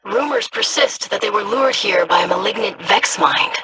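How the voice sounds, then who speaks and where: A performer voicing an earnest, studious scribe in a video game, speaking in a measured, scholarly manner. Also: medium pitch, expressive, noisy background, natural voice. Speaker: scribe investigating monsters.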